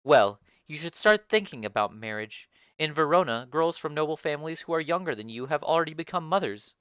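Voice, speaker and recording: A woman doing a stern, low noble mother. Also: animated, fairly bright tone, smooth, no room sound, clean studio sound. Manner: measured, formal, lowered and distant delivery. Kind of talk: dramatic reading of a play.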